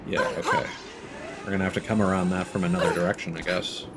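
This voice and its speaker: A man's voice: sits low, steady tone, quick pace, heavy background noise. A man giving casual live commentary as he streams a video game, thinking aloud hesitantly.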